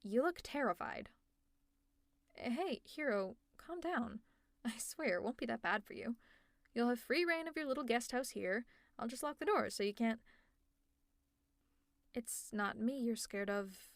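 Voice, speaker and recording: A fairly high-voiced, teasing young villainess, played by a woman in an audio roleplay, exasperated and caring toward her worn-out rival. She speaks close to the mic in a dry room with no echo. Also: lively intonation, measured pace, vocal fry, long pauses. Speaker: ASMR roleplay creator.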